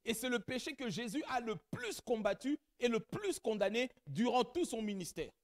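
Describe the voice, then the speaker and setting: A middle-aged pastor whose mother tongue is not French preaches in church, with a high voice that swings widely in pitch. He speaks straight to the congregation and builds to loud, emphatic peaks. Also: big pitch swings, normal speed. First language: not French; has another mother tongue. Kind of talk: sermon.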